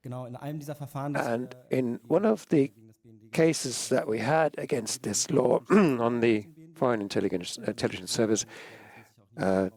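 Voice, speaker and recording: A man speaking as a press freedom advocate at a conference panel, hesitant, with many fillers and throat clearing. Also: medium pitch, takes it slowly, darkish tone.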